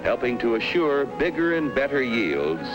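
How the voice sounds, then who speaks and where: A man with an American accent delivers formal 1950s educational film narration. His intonation rises and falls widely, with dramatic emphasis on key phrases. A musical score plays clearly behind him on the vintage soundtrack. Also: mid-range voice, very animated, normal speed, music in the background, rough recording.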